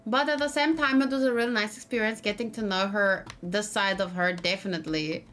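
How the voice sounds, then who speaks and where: A woman's voice: medium pitch, fairly quick, fairly bright tone, a little hiss or hum. A woman streaming a let's play, giving casual, chatty commentary and musing aloud as she goes. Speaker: gaming streamer.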